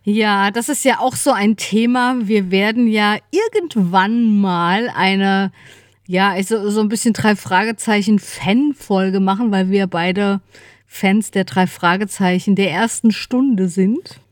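A woman's voice: mid-range voice, slow, no room sound, clean studio sound. A woman in her fifties chatting casually and informally as a podcast co-host. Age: 50s.

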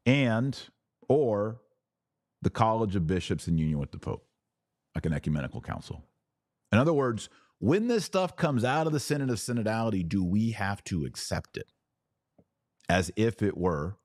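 A man's voice: fairly low pitch, a little mellow, dry recording, studio quality. A man hosting a podcast, reading documents aloud with emphasis and adding informal, colloquial explanations as commentary.

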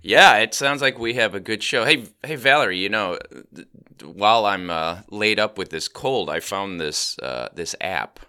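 Man with a cold, lively chatty co-host. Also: fairly low pitch, clear, resonant tone. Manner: chatty, halting with fillers and restarts. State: has a cold, lowered voice. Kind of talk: casual chat.

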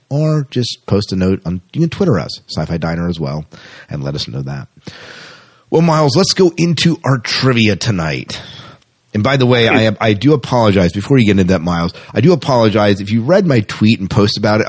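A man's voice: fairly low pitch, darkish tone. A man co-hosting a podcast, talking in an informal, rambling, conversational way. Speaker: podcast co-host. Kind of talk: casual podcast chat.

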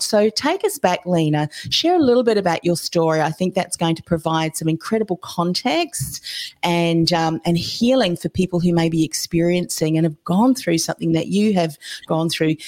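A woman's voice: on the low side, moderate pace, gravelly, a little mellow. A woman hosting a podcast, introducing her guest and inviting her to share her story. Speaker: podcast host. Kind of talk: interview introduction.

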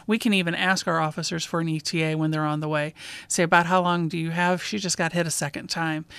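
Female host, police dispatcher type, conversational case commentary. Clear, smooth voice, dry sound. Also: low voice, steady tone, fast, a bit bright, no echo.

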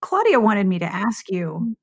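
A woman's voice: medium pitch, very animated, unhurried, slightly rough. A woman hosting a podcast, chatting casually and playfully as she interviews a guest.

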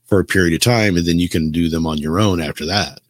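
A man's voice: sits low, fast, no room sound, clean studio sound. A man explaining things in a casual podcast chat about video games, telling it like a story. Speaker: podcast co-host.

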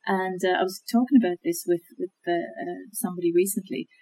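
A woman talking through how to check information, in a measured, thoughtful way with many fillers and restarts. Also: lowish voice, fairly even intonation, warm tone, husky, low-quality recording. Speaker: guest expert on media literacy. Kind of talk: conversational explanation.

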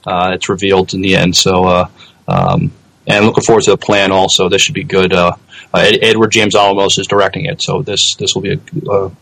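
Halting man with a lowish voice. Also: lively intonation, vocal fry, a bit bright, breathy edge, rough recording. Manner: halting, searching for words. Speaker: podcast co-host. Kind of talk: casual podcast chat.

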